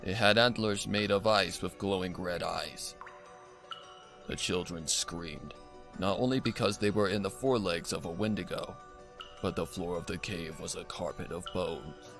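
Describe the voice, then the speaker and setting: A man's voice: low-pitched, normal speed, vocal fry, fairly bright tone. A man reading a scripted horror story as a dramatic narrator, with music behind him. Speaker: fan fiction narrator. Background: background music.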